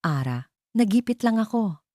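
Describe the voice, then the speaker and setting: A woman's voice: mid-range voice, sing-song, normal speed, warm tone, no room sound, clean studio sound, voice pushed up, natural voice. A woman voicing a guilty, fearful aunt in a story, pleading and evasive.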